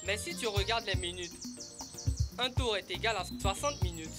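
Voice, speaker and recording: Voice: medium pitch, normal speed, over music, close to an everyday voice. An actor voicing a patient cartoon animal friend who explains things in a didactic way. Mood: patient, explaining. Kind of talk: educational cartoon acting.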